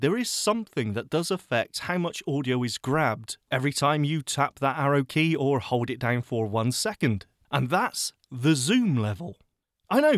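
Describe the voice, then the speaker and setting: Lively, chatty male tutorial host. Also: on the high side, dry recording, studio quality. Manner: chatty, self-mocking, animated asides. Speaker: podcast host teaching audio editing. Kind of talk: screen-reader software tutorial.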